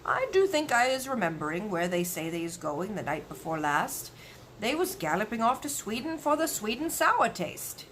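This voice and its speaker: A woman voicing a big friendly storybook giant, eager and slow, with quaint muddled grammar. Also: medium pitch, sing-song, a bit bright, slight background noise. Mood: thoughtful. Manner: slow, drawn-out delivery with quaint broken grammar.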